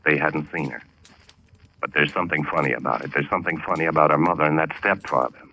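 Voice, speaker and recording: An actor in 1940s radio drama playing a frantic young newlywed husband, urgent, halting and pleading. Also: male voice, rumbling low, pushed and bright, a little hiss or hum, rough recording. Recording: old radio recording with music.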